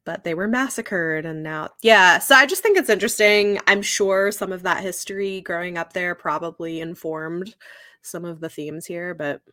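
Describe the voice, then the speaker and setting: Slightly low-voiced woman, casual and dry-sounding. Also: normal speed, bright, forceful tone, dry recording. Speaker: podcast co-host discussing books. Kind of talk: casual book chat.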